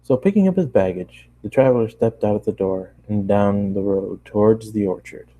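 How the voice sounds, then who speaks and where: A man's voice: lowish voice, moderate pace, dark tone, rough recording. A man narrating a story as an amateur voice actor, stumbling over words now and then and apologetic about it. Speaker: fan fiction reader, amateur voice actor. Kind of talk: story narration with casual asides.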